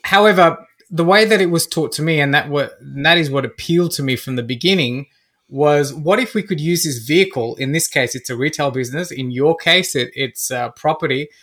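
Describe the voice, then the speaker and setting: Bright, higher-pitched Australian man. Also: brisk. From Australia (Melbourne), born in Fiji. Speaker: podcast guest, business coach. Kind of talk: conversational interview answers.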